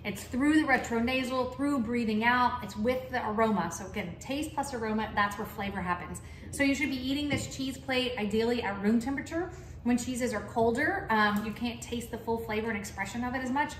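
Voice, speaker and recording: Enthusiastic Texas-accented woman, higher voice, folksy class host; roomy echo, light noise. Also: fairly quick. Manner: chatty and enthusiastic, folksy asides. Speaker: cheese shop founder teaching a tasting class. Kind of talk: casual tasting class. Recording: echoey room, faint background noise.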